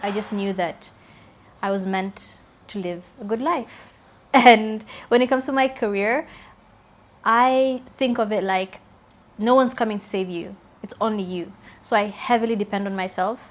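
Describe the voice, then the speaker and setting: Twenty-something woman chatting through a q&a vlog, easy laughs, mid pitch. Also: a little hiss or hum. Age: 20s. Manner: chatty and candid, laughs easily. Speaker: journalist and YouTuber. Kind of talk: casual get-to-know-me Q&A vlog.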